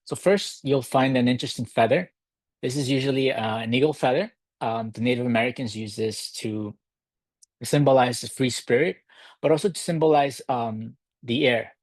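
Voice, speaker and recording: A man from Ecuador hosting a live virtual workshop, talking in a relaxed, halting way with many fillers. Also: medium pitch, no room sound. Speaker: workshop host. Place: home in a city. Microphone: computer mic on a video call.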